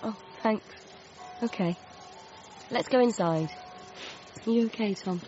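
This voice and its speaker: An actress playing a gentle, slightly anxious friend, soft, hesitant and caring, in a radio comedy drama. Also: female voice, mid-range voice, measured pace, natural voice. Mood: gentle concern. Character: gentle, supportive woman friend, a little anxious. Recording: muffled broadcast, faint music.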